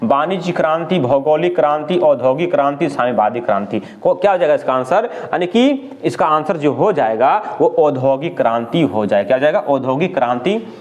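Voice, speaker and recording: A man's voice: a little high, animated, brisk, a little mellow. A man teaching in question-and-answer exam style, repeating questions and answers for emphasis.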